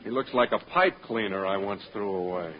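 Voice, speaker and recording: A man's voice: on the low side, normal speed, natural voice. A man playing a persistent, smart-aleck newspaper reporter in old radio comedy, with formal questioning. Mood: cool persistence. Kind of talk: 1930s-40s radio comedy acting. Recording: muffled vintage radio recording.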